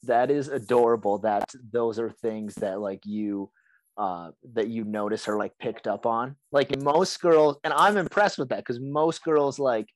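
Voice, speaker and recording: A young man with a raspy, hoarse voice from being sick, hosting a casual podcast chat and rambling with lots of "like" and restarts. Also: medium pitch, measured pace, dry recording, low-quality recording. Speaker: podcast host.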